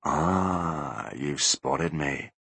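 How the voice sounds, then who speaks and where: A man voicing a hulking older bodyguard who plays a ghost, speaking low, hushed and very slow. The tone is breathy and menacing. It sounds like a close, dry storybook reading. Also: no room sound, exaggerated voice. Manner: slow, quiet, ominous.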